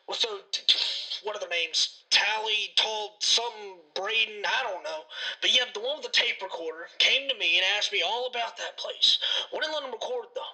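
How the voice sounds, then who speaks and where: A man's voice: sits high, normal speed, sharp tone, full character voice, low-quality recording. An actor playing a defiant, paranoid male conspiracy-theorist host in a radio drama, with a folksy, suspicious, ranting manner. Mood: paranoid, insistent. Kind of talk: radio drama phone interview.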